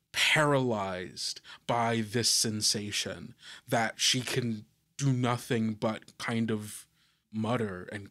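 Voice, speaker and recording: Guy playing a tabletop rpg, describes things slowly and carefully, average pitch. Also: a bit bright. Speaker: tabletop game player. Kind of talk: improvised narration of a tabletop role-playing game.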